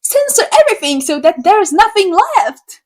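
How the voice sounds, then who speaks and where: Animated, sarcastic young woman from Slovakia. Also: extremely high pitch, normal speed. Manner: sarcastic, animated, swears freely. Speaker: YouTuber. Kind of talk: opinion rant to camera.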